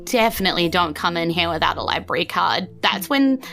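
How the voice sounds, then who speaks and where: Woman voicing a nervous, bright-voiced library assistant. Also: on the low side, expressive, fairly quick, soft background music. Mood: hushed warning. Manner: hesitant, rambling, confiding. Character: nervous, bookish female library assistant, mousy and flustered.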